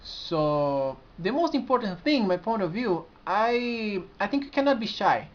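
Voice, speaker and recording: A man's voice: sits high, sing-song. A young man with a strong Brazilian accent speaks to his camera in a sincere, searching way. He stops and restarts his sentences often. The sound is muffled and lo-fi, as from a cheap camera's built-in mic. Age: 20s. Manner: earnest, halting, with frequent restarts. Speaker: community administrator. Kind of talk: casual vlog to camera. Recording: dull lo-fi camera audio.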